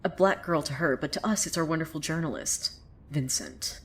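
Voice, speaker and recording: Actress doing a sardonic lady narrator, low voice, gossipy and opinionated, fiction podcast storytelling. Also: animated, moderate pace. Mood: wry, suspenseful. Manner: sardonic, conversational, swearing asides. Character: opinionated, sardonic female storyteller who gossips about townsfolk. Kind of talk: dramatic first-person storytelling.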